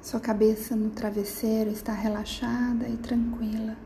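A woman guiding a sleep meditation in a slow, soft, soothing manner with gentle pauses, slipping into near-whispers. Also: fairly high pitch, flat delivery, slight background noise. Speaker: meditation guide.

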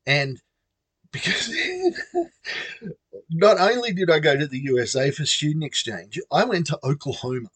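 A man's voice: fairly high pitch, very animated, measured pace, pauses often, fairly bright tone, husky, no room sound. A middle-aged Australian man casually telling a personal story, chatty and self-amused. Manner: chatty, self-amused, acts out quoted voices.

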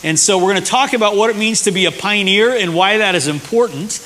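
A man's voice: sits high, quick pace, bright, forceful tone. A man preaching casually to his congregation with fillers and restarts. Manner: conversational, with fillers. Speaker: pastor. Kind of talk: church sermon. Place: church hall. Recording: hissy echoey church hall.

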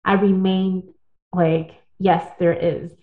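A woman's voice: on the low side, little pitch movement, slow. Earnest woman on a tinny phone line. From born in the United States, partly raised in Taiwan. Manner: earnest, storytelling, with restarts and tag questions. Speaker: guest. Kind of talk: casual interview talk. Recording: echoey, phone-like audio.

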